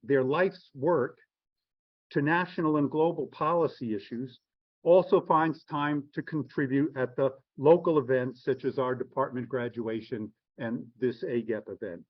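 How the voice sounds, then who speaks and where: A man's voice: mid-range voice, a little slow. A man hosting an event, giving a formal, earnest introduction of the guest with a few restarts. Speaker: event host introducing the speaker. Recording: dull lo-fi sound.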